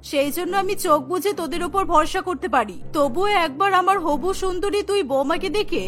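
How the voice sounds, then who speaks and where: A woman's voice: very high-pitched, fairly quick, a bit bright, faint music behind. A woman voicing a proud, wealthy mother of grown sons in a cartoon, haughty and boastful. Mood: commanding. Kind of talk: cartoon story voice acting.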